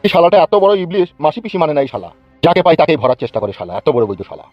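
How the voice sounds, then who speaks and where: A man playing rapid-fire bullying village politician. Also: a little high, big pitch swings, no room sound, highs cut off, faint music behind. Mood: angry, threatening. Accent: rural West Bengal Bengali. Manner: hectoring, threatening, boastful. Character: bullying, foul-mouthed male village politician. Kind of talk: comic cartoon dubbing.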